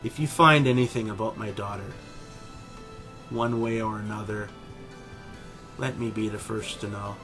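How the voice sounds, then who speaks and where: Man acting a pompous low-voiced scientist father. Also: fairly even intonation, music in the background, natural voice. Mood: curt. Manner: pompous, deliberate. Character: arrogant, condescending anthropomorphic animal scientist, middle-aged father. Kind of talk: scripted fan audio drama.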